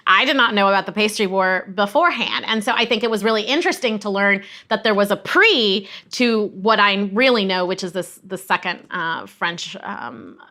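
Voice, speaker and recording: A woman speaks as an interview guest in an earnest, explanatory way. Her voice is bright and crisp-edged at a middle pitch. She talks like a thoughtful educator in conversation. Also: lively intonation, normal speed, hardly any pauses. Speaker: guest, museum director of education.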